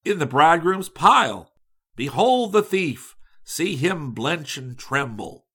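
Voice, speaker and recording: A man's voice: fairly high pitch, very animated, slow pace, pushed and bright, slightly rough, full character voice. Man acting a stern, tragic bookkeeper. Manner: stern, solemn, theatrical. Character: stern, tragic male bookkeeper making a grave accusation.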